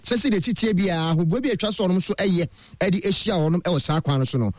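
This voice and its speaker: A man reading the news on the radio in a measured way. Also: sits high, dry recording.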